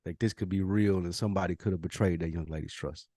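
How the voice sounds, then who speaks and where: Laid-back low-voiced male podcast host. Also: steady tone, quick pace, warm tone, no room sound, studio quality. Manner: laid-back, asks probing questions. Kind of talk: casual podcast chat.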